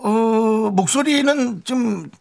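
A man's voice: very high-pitched, vocal fry, a crowd in the background. A man hosting a radio comedy show, teasing callers and bantering in a running bit. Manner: quick teasing comic host, plays along in a bit.

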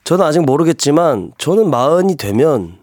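Calm young man, dry humor. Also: fairly high pitch, normal speed, dry recording. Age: young adult. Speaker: guest singer. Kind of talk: casual radio chat. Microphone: studio microphone.

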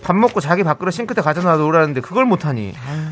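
A man's voice: fairly high pitch, animated, rapid-fire, fairly bright tone, soft background music. A man playing a gossipy woman friend, easily offended and fed up, in a comic radio skit with sighing, dramatic delivery. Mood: gossipy sympathy.

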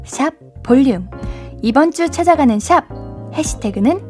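Woman radio host, high voice, bubbly and exclamatory, studio mic, faint music underneath. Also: expressive, moderate pace, darkish tone. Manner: bubbly, gasps and exclaims often. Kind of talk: late-night radio chat, reading listener letters. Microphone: studio microphone.

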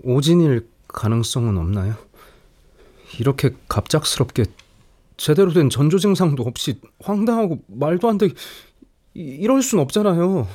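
A man's voice: on the high side, sing-song, moderate pace, a little mellow, dry recording. An actor playing a brooding nineteen-year-old man who is losing his sight and resents his father. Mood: pleading. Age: about 19, turning 20. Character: young man going blind, bitter toward his father. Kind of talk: introspective drama narration and dialogue.

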